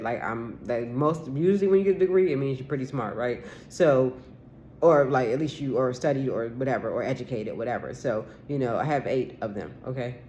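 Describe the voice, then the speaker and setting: A woman's voice: a bass voice, darkish tone, slight background noise. Woman, 40s, solo vlogger. Rambling, insistent monologue with lots of fillers and casual profanity. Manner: rambling and aggrieved, with many restarts and fillers; swears casually and repeats herself insistently.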